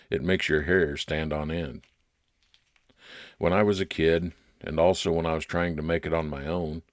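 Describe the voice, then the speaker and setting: Guy doing an old time radio detective narrator, low n crackly voice, lingers on phrases, noir storytelling. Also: dry recording. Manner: deliberate, dramatic pauses, stretched descriptive phrases. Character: male private investigator narrating his case, noir storyteller.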